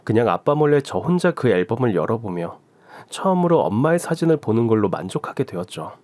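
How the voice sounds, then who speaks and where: A man narrating a woman's first-person story in an expressive storytelling style. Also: medium pitch, a little fast, no echo. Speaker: story reader. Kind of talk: storytelling radio drama narration.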